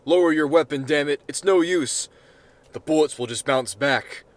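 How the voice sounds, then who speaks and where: A man's voice: on the high side, normal speed, natural voice. A man voicing an embattled, indignant American president in a story reading, with emphatic, dramatic declarations. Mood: resigned, commanding. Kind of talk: amateur short-story character reading.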